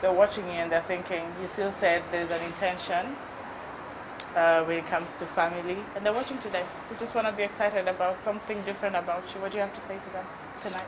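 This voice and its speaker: A woman with a Kenyan accent hosting a TV talk show, playful and lively, asking questions and teasing her guest. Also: lowish voice, fast, background noise. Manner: playful, lively, mixing in Swahili phrases. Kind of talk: casual interview chat.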